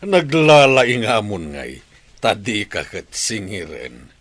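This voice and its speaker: A man playing a stern, mocking village moneylender in a radio drama, gruff and insistent. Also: lowish voice, very animated, takes it slowly, fairly bright tone, full character voice. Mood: scornful, insistent. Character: stern, mocking village moneylender Señor Pedro, unbending creditor.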